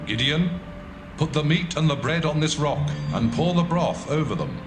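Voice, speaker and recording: Solemn angel messenger, expressive, echoing space, music under. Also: male voice, on the high side, moderate pace. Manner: solemn, instructing.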